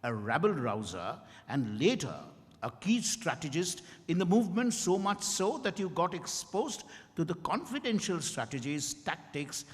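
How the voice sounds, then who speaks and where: A man's voice: a little high, very animated, moderate pace, few pauses. A man playing a stern, accusing doctor in a dramatized stage reading, emphatic and relentless in his cross-examining. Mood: accusing, pressing. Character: stern accusing male doctor interrogating Mister Y. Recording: hall reverb with music behind.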